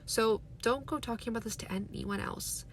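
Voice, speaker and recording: A woman's voice: fairly high pitch, moderate pace, gravelly, slight background noise. A woman voicing a boastful, bullying middle-aged car-dealer father in a storybook reading. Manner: brash, preaching his tricks with pride. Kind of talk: storybook read aloud.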